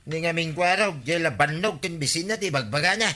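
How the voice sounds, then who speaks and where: Anxious village man who lost his cow, ilocano radio drama, very bright highish voice. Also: brisk.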